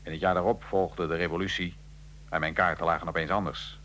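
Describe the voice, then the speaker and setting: A man acting in a radio drama as a defiant, wry nineteenth-century Italian revolutionary prisoner, telling his story gravely and with irony. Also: sits low, level tone, fairly quick, pushed and bright, slight background noise. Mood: grave, wry recounting.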